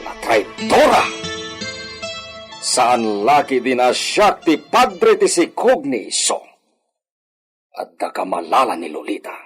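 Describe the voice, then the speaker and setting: An actor with an Ilocano accent playing a suspicious, bitter hacienda master in a radio drama, brooding and given to dramatic outbursts. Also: male voice, medium pitch, wildly swooping pitch, slow pace, long pauses. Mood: bitter, angry. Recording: music bed under the voice.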